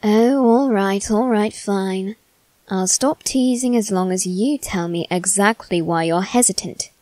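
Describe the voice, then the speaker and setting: Woman voicing a young male demon servant, teasing, haughty, mock-polite, theatrical, mid-pitched, dry close ASMR roleplay. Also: a little slow, mellow, dry recording, natural voice. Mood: teasing, smug. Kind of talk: ASMR character roleplay addressed to the listener.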